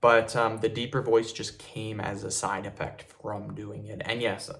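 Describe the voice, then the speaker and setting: A young man hosting a casual tutorial video to camera, relaxed and repetitive, often calling viewers "you guys". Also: fairly low pitch, unhurried, gravelly. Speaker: fitness video host.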